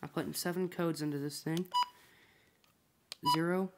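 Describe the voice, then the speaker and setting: A man's voice: a little high, fairly even intonation, normal speed. A man casually narrating a hands-on setup, muttering to himself in short fragments, recorded indoors on a handheld device. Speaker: hobby video maker. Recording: very noisy handheld home recording with beeps. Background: loud noise, button clicks and electronic beeps.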